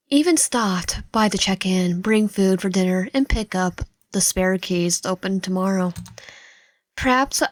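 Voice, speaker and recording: A woman reading visual novel game text aloud in a halting way, often stumbling and correcting herself. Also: mid-range voice, no room sound.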